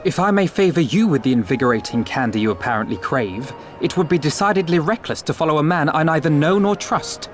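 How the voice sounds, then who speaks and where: An actor playing a proud, wary young diplomat in a video game, speaking with formal, precise eloquence. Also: male voice, on the high side, animated, a little fast, hardly any pauses, faint music behind, natural voice. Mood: indignant, suspicious. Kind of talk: scripted video game character dialogue.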